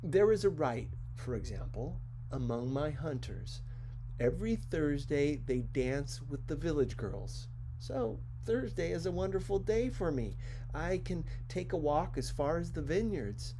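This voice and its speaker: A man reading as a wise, gentle male fox, patient and earnest as he explains. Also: a little high, very animated, unhurried, noisy background.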